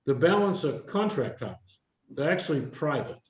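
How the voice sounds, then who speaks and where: A man's voice: fairly high pitch, big pitch swings, moderate pace. An older man giving an informal, instructive career presentation, explaining things and putting questions to his listeners. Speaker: presenter, retired air traffic controller. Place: indoor room with a live audience. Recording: roomy, echoing presentation room sound.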